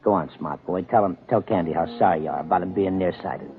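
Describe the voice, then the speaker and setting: A man's voice: low-pitched, fast talker, natural voice. Man playing a tinny old-radio gangster bully. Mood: menacing. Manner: needling, repetitive, ungrammatical. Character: taunting, bullying gangster henchman, slow-witted tough. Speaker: actor in a radio detective drama. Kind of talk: 1940s radio acting. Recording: tinny, narrow-band 1940s radio recording.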